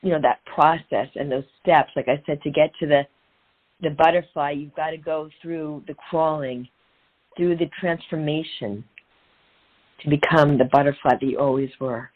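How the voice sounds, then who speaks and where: A woman's voice: deep voice, fairly even intonation, moderate pace. A middle-aged woman speaking as an interview guest and coach in an earnest, inspirational way, repeating phrases for emphasis. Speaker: guest, transformational and women's empowerment coach. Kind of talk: conversational interview, motivational storytelling. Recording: narrow phone-like sound.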